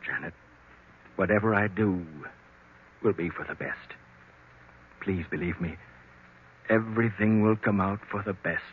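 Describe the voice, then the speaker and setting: A man's voice: fairly low pitch, unhurried, pauses often, very noisy, lightly acted. An actor playing a weary, kindly older man with a hesitant, melancholy manner in a 1940s style radio mystery. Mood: melancholy, soothing. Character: weary, kindly, defeated old uncle. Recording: tinny, narrow vintage radio recording.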